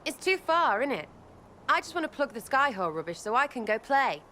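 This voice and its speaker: An actress playing a scrappy, irreverent young archer in a video game, with an English accent and blunt, slangy, jumpy phrasing. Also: female voice, high voice, very animated, a little fast, sharp tone, a little hiss or hum, exaggerated voice. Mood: defensive, uneasy. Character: scrappy, irreverent young woman archer, street-smart and impatient. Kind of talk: video game character acting.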